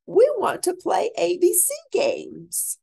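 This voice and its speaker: A woman's voice: extremely high pitch, huge pitch swings, slow pace, vocal fry, warm tone, voice pushed up, exaggerated voice. A woman voicing a group of grown-ups whining that they want to go to school. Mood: whiny.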